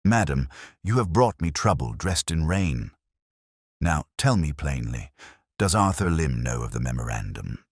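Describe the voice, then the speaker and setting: A man's voice: rumbling low, big pitch swings, measured pace, no echo, studio quality, exaggerated voice. A man voicing an imperious, ponderous genius detective with a formal, pompous manner in an audiobook mystery. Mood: imperious, cold.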